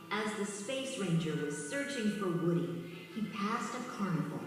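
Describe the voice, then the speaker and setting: A woman reading scripted children's storybook narration as the narrator of a read-along. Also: medium pitch. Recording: very echoey distant hall, music underneath.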